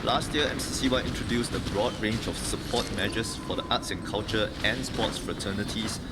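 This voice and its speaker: Man, Singaporean accent, formal political speech, fast pace, loud music underneath. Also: on the low side. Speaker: member of parliament. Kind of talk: formal prepared parliamentary speech. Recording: game music over parliamentary speech audio. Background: loud music and voices from a video game.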